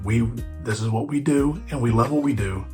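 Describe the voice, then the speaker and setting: A hoarse man hosting a barber podcast, chatting casually on his own with lots of fillers and restarts. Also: medium pitch, normal speed, a little mellow, soft background music. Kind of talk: casual solo podcast chat.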